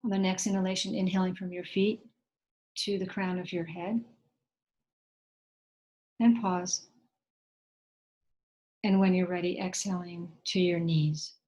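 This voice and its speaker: A woman leading a guided meditation in a gentle, unhurried way, leaving long pauses between short instructions. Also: lowish voice, fairly even intonation, poor audio.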